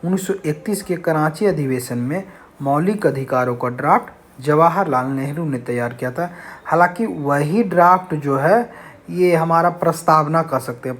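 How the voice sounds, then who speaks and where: A man teaching an exam-prep lesson, reading out questions and explaining answers in a didactic, repetitive way. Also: a little high. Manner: didactic, repeats key phrases.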